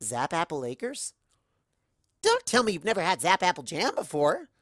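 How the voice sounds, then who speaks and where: A performer voicing a bubbly, excitable young female cartoon pony entertainer in an exuberant way. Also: mid-range voice, moderate pace, no room sound, studio quality, voice pushed up. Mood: boisterous. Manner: exuberant, bursting with exclamations.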